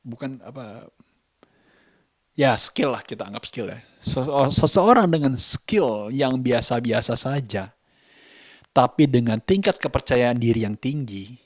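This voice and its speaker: A man with a Jakarta Indonesian accent speaks in a slow, unhurried way at a middling pitch. He is vlogging casually, reflecting aloud and often stopping to search for the right word. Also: animated. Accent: Indonesian, Jakarta colloquial. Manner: halting, searching for words, thoughtful. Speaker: vlogger and parent. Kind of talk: reflective casual monologue to camera.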